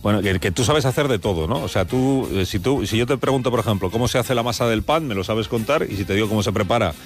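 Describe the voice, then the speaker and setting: A man's voice: lowish voice, fast. A man with a Spanish accent hosting a casual radio chat, explaining and bantering with his company. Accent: Spain. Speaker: radio show host. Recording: music bed behind the voice. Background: background music.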